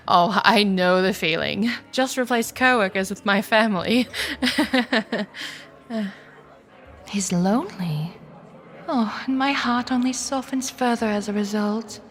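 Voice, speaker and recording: A woman playing a sardonic, wary young woman short of money in an audio drama, with wry, expressive inner monologue. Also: medium pitch, takes it slowly, long pauses, big-room sound, natural voice. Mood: softening, curious. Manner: wry, expressive, with sighing interjections. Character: young cash-strapped woman, sardonic, wary, inwardly flustered. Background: music.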